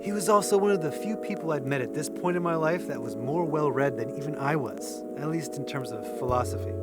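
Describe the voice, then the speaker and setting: A man's voice: medium pitch, lightly acted. A man playing a bookish young man in an audio drama, talking in an articulate, matter-of-fact way. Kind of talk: scripted audio drama, conversational.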